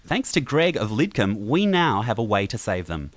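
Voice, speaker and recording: A man's voice: fairly low pitch, very animated, normal speed, no echo. A man delivering melodramatic scripted narration for a comedy radio serial.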